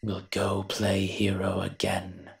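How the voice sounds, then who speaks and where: A man voicing a grumbling, reluctant invisible monster with a secret soft heart in an audio roleplay, dry and sardonic. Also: sits low, completely flat delivery, slow, gravelly, full character voice. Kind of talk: scripted character monologue, audio roleplay.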